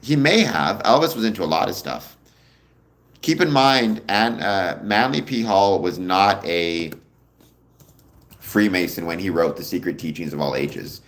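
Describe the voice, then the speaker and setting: A young man hosting a casual livestream, answering viewer comments in a relaxed, rambling way with a deep voice. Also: unhurried. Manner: relaxed, rambling, many ums, answers chat questions. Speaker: livestream host.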